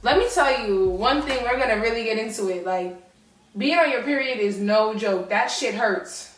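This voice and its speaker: A woman co-hosting a podcast, talking in an animated, opinionated way and mocking men with put-on voices. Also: a little high, moderate pace, a little hiss or hum. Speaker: podcast co-host. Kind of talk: casual opinionated chat. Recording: echoey room, far from mic, faint music.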